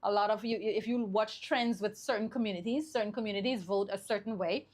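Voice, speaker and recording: A woman's voice: medium pitch, a little fast, darkish tone. A woman co-hosting a talk show, thinking aloud with hesitant restarts. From Bahamas. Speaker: co-host. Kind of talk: casual talk show chat.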